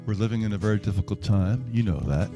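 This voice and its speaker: A man's voice: fairly low pitch, fast, muffled recording, music in the background. A man, a performing singer-songwriter, talking casually between songs in a wry, self-deprecating way. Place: live-streamed music show at a venue.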